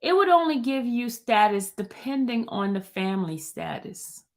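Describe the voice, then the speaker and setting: A woman reading out a male gang witness's testimony in a lowered voice, answering in quiet, halting phrases. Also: very animated. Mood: recalling.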